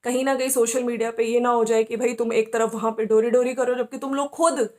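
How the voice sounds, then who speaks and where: A woman's voice: on the high side, little pitch movement, a little fast, a little mellow. A woman hosts a commentary video. She talks conversationally and gives her opinions, often adding small tags to check that listeners follow. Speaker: YouTube commentary host. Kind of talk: informal opinion commentary on family vloggers.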